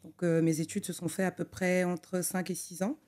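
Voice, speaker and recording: A young adult woman who grew up in Togo, an architect talking through her career as a podcast guest, thoughtful and full of pauses and restarts. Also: on the low side, flat delivery, a little mellow. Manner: thoughtful, many fillers and restarts. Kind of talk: conversational interview.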